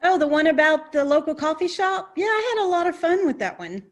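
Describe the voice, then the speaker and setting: A woman's voice: sits high, expressive, brisk. An actress playing an eager, polite young woman reporter in a stagey comedy play.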